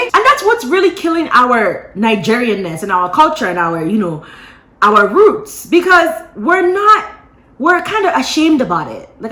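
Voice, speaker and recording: Woman vlogger, mid-pitched and chatty, animated gossip storyteller, slight room echo. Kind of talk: casual gossip chat to camera. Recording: roomy echo.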